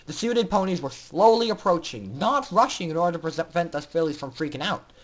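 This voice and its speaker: A man's voice: fairly high pitch, lively intonation, brisk. A man narrating fan fiction in a theatrical, intense style, as a dramatic story reader.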